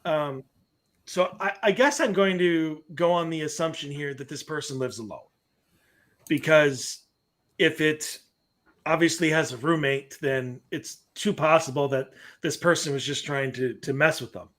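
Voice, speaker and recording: A man with a fairly high speaking voice, chatting from home over a webcam call. He is playful and jokey and laughs often. When he reads a listener story aloud, he slips into a sing-song delivery. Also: unhurried, fairly bright tone. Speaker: podcast co-host. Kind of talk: casual chat, reading a listener story aloud. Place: room at home.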